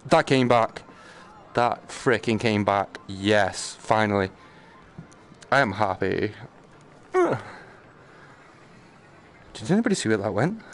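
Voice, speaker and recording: Male game streamer, lowish gravelly voice, slangy self-talk with outbursts and swearing. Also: big pitch swings, slow pace, long pauses, slight background noise. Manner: muttering to himself and exclaiming, slangy, sweary. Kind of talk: casual live gameplay commentary.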